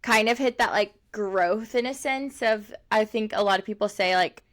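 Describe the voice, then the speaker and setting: A woman's voice: medium pitch, normal speed, fairly bright tone. Chatty woman in her twenties. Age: 20s. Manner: chatty, rambling, heavy use of "like", laughs often. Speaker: podcast co-host. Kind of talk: casual chat.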